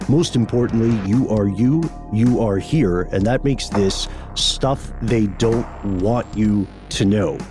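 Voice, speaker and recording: A man's voice: on the low side, slow pace, hardly any pauses, over music. A man co-hosting a podcast, chatting casually and wryly, digressing and correcting himself with small laughs. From United States. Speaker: podcast co-host. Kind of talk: casual conversational podcast talk.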